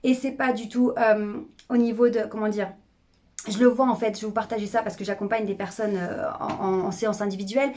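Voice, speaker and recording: A middle-aged woman speaking as a public speaking coach, energetic and emphatic, often repeating phrases to drive a point home. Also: medium pitch, fairly quick, slight background noise. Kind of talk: coaching video monologue.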